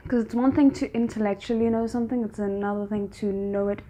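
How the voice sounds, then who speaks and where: A woman's voice: mid-range voice, steady tone, quick pace, dark tone, slight background noise. A woman giving casual, reflective reaction commentary with an analytical way of explaining things. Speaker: reaction video host.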